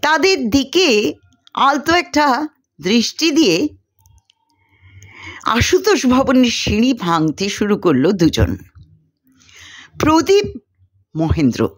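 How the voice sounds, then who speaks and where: A woman narrates a short story. Her voice sits fairly high and moves with a lot of melodic variety. She takes her time over each phrase, reading in a deliberate, expressive way. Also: slightly rough. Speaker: story reader. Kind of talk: scripted narration of a short story, dramatized dialogue.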